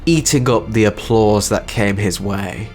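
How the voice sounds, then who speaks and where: A man's voice: on the low side, a little slow, darkish tone, soft background music. A man giving scripted narration as the narrator and creator of a story channel.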